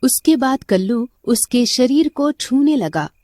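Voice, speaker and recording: A woman's voice: sits high, animated, takes it slowly, mellow, dry recording, clean studio sound. A woman narrating a scripted story as a storyteller.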